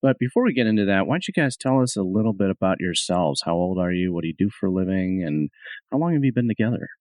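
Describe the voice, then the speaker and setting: A man's voice: low-pitched, expressive, fast, a little mellow, no room sound. A man hosting a podcast, welcoming guests in an upbeat, inviting way. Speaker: podcast host.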